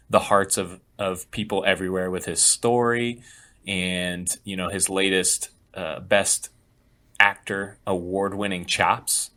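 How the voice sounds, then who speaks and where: A man from Florida, a guest on a podcast, chatting casually and joking as he explains his picks. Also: lowish voice. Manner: relaxed, joking, builds up his picks with hedging.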